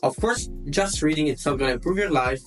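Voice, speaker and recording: A man's voice: fairly high pitch, expressive, brisk, faint music behind. A young man from Italy with an Italian accent, speaking English as a second language in an earnest self-help vlog, repeating words for emphasis. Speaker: self-development vlogger. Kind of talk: advice monologue to camera.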